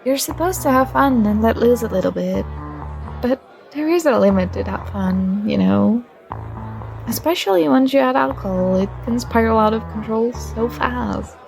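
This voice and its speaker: A woman playing a friendly, shy young student with a secret crush in an ASMR roleplay, speaking gently and conversationally. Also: on the high side, lively intonation, creaky, faint music behind, a faint crowd. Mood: friendly, chatty. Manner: gentle, unhurried, with small giggles.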